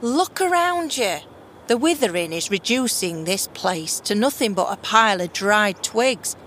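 A woman's voice: medium pitch, animated, a little slow, a bit bright, slight background noise. A woman playing a bitter, defiant runaway daughter of a monarch in a fantasy audio drama, sarcastic and blunt, with slangy complaints. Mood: scornful.

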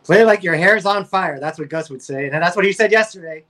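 Higher-pitched, quick American man. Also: a bit bright. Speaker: sports show panelist. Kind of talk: casual sports talk.

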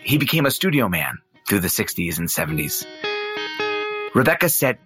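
A middle-aged man playing an earnest son narrating his quest as an intense, deliberate dramatic storyteller. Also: on the low side, sing-song, over music. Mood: earnest, determined.

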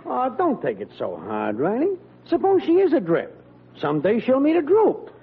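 A man's voice: very high voice, wildly swooping pitch, moderate pace, very noisy, full character voice. An actor playing a breezy, needling male buddy in a 1940s radio comedy. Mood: breezy, consoling. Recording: tinny old radio, loud studio audience.

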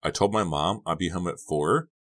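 A man's voice: sits low, normal speed, fairly bright tone. A man voicing a worried, insistent teenage girl in an audiobook, anxiously protesting. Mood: hesitant.

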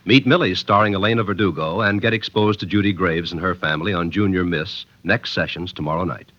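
A man's voice: sits low, fairly quick, fairly bright tone, no echo. A man working as a radio announcer, reading scripted copy in a polished, formal 1950s style. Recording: dull vintage radio recording.

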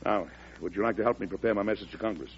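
An actor playing a stubborn, commanding president in an old-time radio drama, with firmness and indignation. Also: male voice, on the low side, racing, a little hiss or hum, lightly acted. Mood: firm. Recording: dull vintage radio recording.

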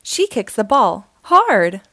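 A woman hosting a storytime, reading a children's picture book aloud slowly and expressively. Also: mid-range voice, no room sound, clean studio sound. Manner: slow, expressive, sing-song reading.

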